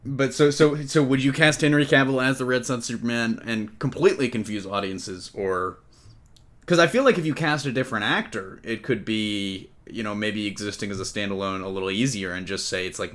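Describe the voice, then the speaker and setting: A man's voice: fairly low pitch, moderate pace, a bit bright. A man hosting a casual entertainment news podcast, chatting like an enthusiastic fan. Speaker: podcast host.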